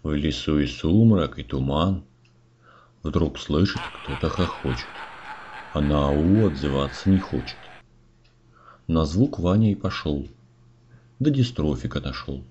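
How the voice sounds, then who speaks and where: Lively, low-voiced male fairy-tale narrator. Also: a little slow, pauses often. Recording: muffled audio with clear noise, clicks.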